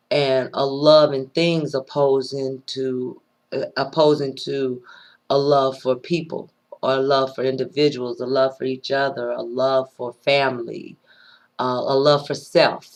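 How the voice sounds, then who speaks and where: Rough-voiced woman, earnest and preachy. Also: extremely low pitch. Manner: earnest, preachy, pauses often and repeats phrases. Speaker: vlogger sharing personal views. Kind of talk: unscripted spoken monologue.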